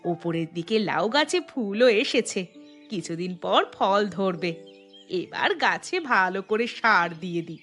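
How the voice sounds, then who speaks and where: Woman playing a bright-voiced village housewife. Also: medium pitch, expressive, slow pace, soft background music. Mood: busy, content. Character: hardworking, thrifty village housewife, practical and chatty. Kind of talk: Bengali cartoon voice acting.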